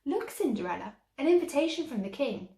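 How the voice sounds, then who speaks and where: A woman's voice: sits high, sing-song, a little fast, warm tone, voice pushed up. A woman voicing bad-tempered, jealous young stepsisters in a storybook tale, whiny and smug. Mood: gloating. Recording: echoey room.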